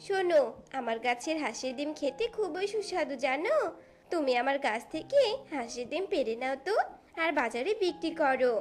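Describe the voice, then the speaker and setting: A talking magic tree from a fairy-tale cartoon, voiced by a woman. The voice is very high, and it explains and reassures in a kindly way. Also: lively intonation, brisk. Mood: kindly reassuring.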